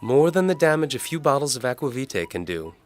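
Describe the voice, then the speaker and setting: Man voicing a forward young maid, pitched high. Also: sing-song, fast talker, natural voice. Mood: concerned.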